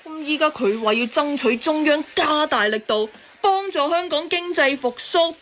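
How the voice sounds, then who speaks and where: A woman's voice: high-pitched, animated, moderate pace, fairly bright tone, a little hiss or hum. A woman playing a well-informed, critical character who explains things pointedly in a radio drama. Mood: pointed, explaining.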